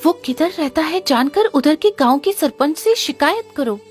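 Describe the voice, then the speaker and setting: A woman plays a young village heroine in an animated moral tale. Her voice is extremely high, assured and assertive, with music playing behind it. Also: normal speed. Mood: confident. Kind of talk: Hindi animated moral story dialogue. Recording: music under the voice.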